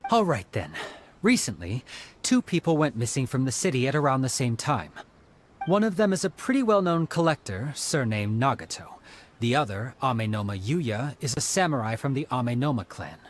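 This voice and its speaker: An actor playing a friendly, helpful young man in a game who shares leads, with an eager, expressive manner. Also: mid-range voice, moderate pace, slight background noise, natural voice. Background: game music.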